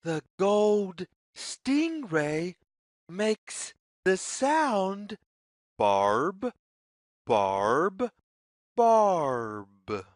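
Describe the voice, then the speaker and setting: A woman reads a picture story aloud for young children. She goes very slowly, spacing out her phrases, with a lively singsong melody that rises and falls widely. The sound is dry, with no room echo. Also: fairly low pitch. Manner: deliberate, singsong, repetitive phrasing.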